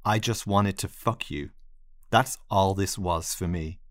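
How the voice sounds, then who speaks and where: A man's voice: low-pitched, moderate pace, a bit bright, no echo, clean studio sound. Man playing a cold, exasperated rejecting man. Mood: cold, firm. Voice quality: clinical, firm, clipped delivery. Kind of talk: dramatized monologue storytelling.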